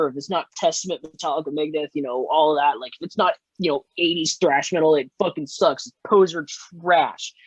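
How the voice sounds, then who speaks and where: A man's voice: on the high side, no echo, low-quality recording. A man in his twenties, a musician and podcast guest, rambling in casual chat, filling his talk with "like" and "you know" and swearing freely. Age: 20s.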